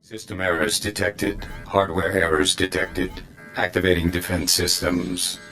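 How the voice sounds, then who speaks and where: An actor voicing an impersonal malfunctioning defense machine in a video game, in clipped automated announcements. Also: male voice, low voice, measured pace, pushed and bright, soft background music, full character voice.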